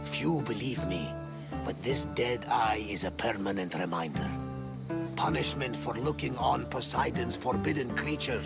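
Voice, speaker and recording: An actor playing a weathered, one-eyed sea captain, a theatrical and boisterous middle-aged storyteller in a video game. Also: male voice, mid-range voice, animated, unhurried, creaky. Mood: grave, haunted. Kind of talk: dramatic video game voice acting. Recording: music behind the voice.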